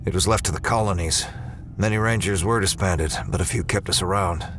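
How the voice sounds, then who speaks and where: Man playing a grim, low-voiced veteran space ranger. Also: a little fast, noisy background, natural voice. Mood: gruff, guarded. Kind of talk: sci-fi audio drama acting.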